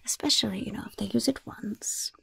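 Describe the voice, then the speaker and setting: Woman as a whispering, gravelly, mischievous goblin shopkeeper. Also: mid-range voice, bright, forceful tone, full character voice. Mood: sly, gleeful. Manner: slow, gleeful, understated, coaxing. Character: sly mischievous goblin shopkeeper peddling poisons and curses. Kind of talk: whispered ASMR roleplay. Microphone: close microphone.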